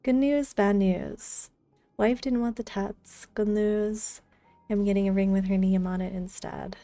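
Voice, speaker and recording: A woman's voice: medium pitch, steady tone, a little slow, warm tone, no echo. A woman live streamer chatting casually and in a friendly way with her viewers while gaming. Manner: friendly, greets viewers by name. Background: game music.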